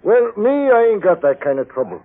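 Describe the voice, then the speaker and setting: A folksy American bartender of old radio drama, sympathetic and plain-spoken in a high male voice. The sound is tinny and narrow, like an old broadcast. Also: big pitch swings, fairly quick, full character voice. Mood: friendly, sympathetic. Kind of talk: 1950s radio acting. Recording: narrow-band vintage radio recording.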